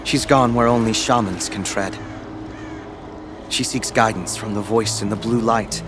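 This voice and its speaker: A man's voice: fairly low pitch, steady tone, a little slow, natural voice. Actor as a guarded, solemn shaman's apprentice, music behind. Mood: solemn, troubled. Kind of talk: video game character acting.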